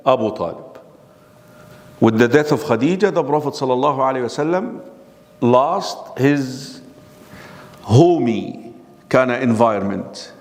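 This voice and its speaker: Male religious teacher, mid-pitched voice, lectures by firing questions at the room, long hall reverb. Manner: keeps quizzing the audience with questions. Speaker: Islamic lecturer. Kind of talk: classroom lecture.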